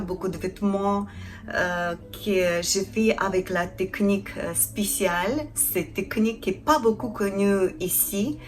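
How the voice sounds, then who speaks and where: A woman from Ukraine with a Ukrainian accent, a non-native speaker introducing her craft channel in a halting way, often searching for words. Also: mid-range voice. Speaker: craft channel host, felting artisan. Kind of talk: casual introduction to camera.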